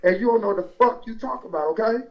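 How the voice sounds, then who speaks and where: Angry high-voiced man caller. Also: fast talker, over a noisy crowd. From Alabama, US. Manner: angry, threatening, repetitive. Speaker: caller, pastor. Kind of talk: angry phone call to a radio show. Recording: dull lo-fi phone-in sound.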